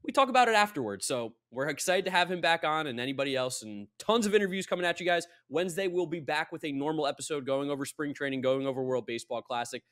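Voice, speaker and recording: A man's voice: a little high, lively intonation, quick pace, hardly any pauses, fairly bright tone. A man hosting a casual sports podcast in an upbeat, informal way. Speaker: podcast host.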